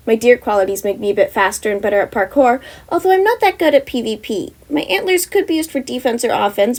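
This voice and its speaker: A bubbly young wizard girl with antlers and elf ears, pitched high and talking with quick eagerness. She sounds friendly and a little proud of her magic. A woman reader puts her on in an amateur audio story. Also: heavily acted. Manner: bright, chatty and eager.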